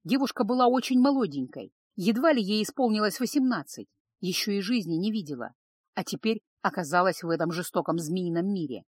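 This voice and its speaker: Female fiction narrator, medium pitch, dramatic storytelling delivery, very dry recording. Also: animated, a little fast, a little mellow, breathy edge, dry recording. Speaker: audiobook narrator. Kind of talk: dramatic first-person scripted narration.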